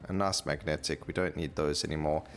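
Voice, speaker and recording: A man's voice: a bass voice, steady tone, a little fast. A man giving casual, unscripted commentary as he plays a video game. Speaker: gaming video host. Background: game music.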